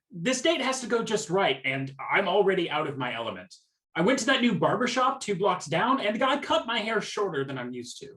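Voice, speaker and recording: A man's voice: sits high, a bit bright. A man playing an awkward, flustered, lovelorn customer in a radio play, hesitant and self-deprecating as he talks. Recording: roomy, echoing sound.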